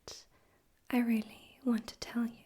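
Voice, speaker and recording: A woman voicing a smug, theatrical male demon overlord in an ASMR roleplay, in a soft breathy whisper with a deliberate, teasing, condescending manner. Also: fairly high pitch, level tone. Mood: smug, fond.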